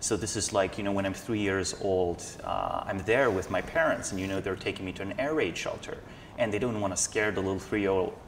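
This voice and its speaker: Low-voiced man in an echoing space. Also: fairly quick. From Slovenia. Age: 30s. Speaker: interview guest, social scientist and analyst. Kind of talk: reflective conversational explanation. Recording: echoey hall with background noise.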